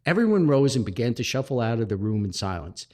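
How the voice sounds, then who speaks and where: A man reading a comic story aloud as a podcast host, with theatrical pauses and emphasis. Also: mid-range voice, very animated, darkish tone.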